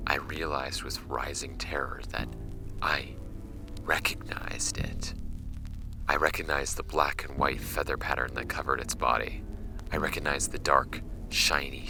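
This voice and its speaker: An audio drama archivist, played by a man, reads case files in an extremely deep voice. It crackles with vocal fry, and music plays clearly underneath. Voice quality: creaky, very deep. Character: dry, skeptical male archivist recording case notes. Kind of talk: scripted audio drama narration, reading case files aloud.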